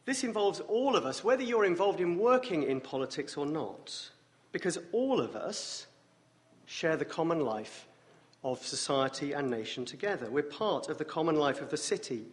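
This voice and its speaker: An English man preaching as a guest speaker, with a wry, self-deprecating humor and a voice deeper than usual from a morning rasp. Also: animated, normal speed. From England. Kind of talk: sermon with dry jokes. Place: church sanctuary. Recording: long church reverb.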